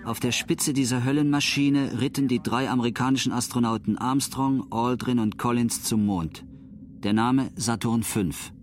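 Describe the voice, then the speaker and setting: A man's voice: medium pitch, steady tone, moderate pace. A man reading scripted documentary narration as a storyteller of history.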